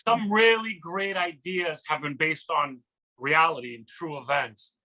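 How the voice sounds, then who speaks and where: A man co-hosting a chat show, asking polite, measured questions with small hesitations. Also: on the high side, sharp tone, low-quality recording. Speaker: podcast co-host. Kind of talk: casual interview chat.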